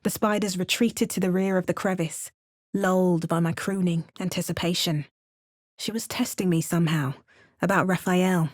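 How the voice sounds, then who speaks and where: A woman's voice: on the low side, steady tone, moderate pace, close to an everyday voice. A woman voicing a determined adventuring heroine in a fantasy game, giving short wry remarks and narrating in the first person. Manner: clipped asides, first-person narration and shouted spell incantations. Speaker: player character in a fantasy video game. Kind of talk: recorded game voice lines.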